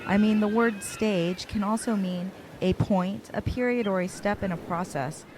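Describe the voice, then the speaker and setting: A man's voice: sits high, normal speed, noisy background, pitched above the performer's own voice, lightly acted. A man voicing a fussy, precise female celebrity judge. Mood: prim.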